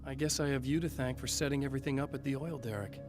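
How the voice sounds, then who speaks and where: Understated actor as guarded thriller hero. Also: male voice, medium pitch, steady tone, quick pace, natural voice. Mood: subdued, concerned. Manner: plain, understated, businesslike. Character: weary, guarded married male hero of a thriller game. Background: music.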